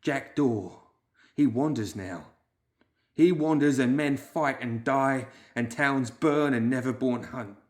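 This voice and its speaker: A man putting on a jittery male clerk who whimpers his words out in a raised, strained voice, louder than the storyteller's own telling. He sounds shaken and frightened in a storybook reading. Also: medium pitch, takes it slowly, pitched above the performer's own voice, lightly acted. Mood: fearful. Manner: whimpering, wailing.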